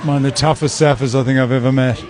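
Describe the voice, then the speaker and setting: A man's voice: medium pitch, steady tone, fast talker. A man giving informal fight commentary as an event commentator, speaking on a live mic. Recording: live mic amid very loud crowd. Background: loud crowd.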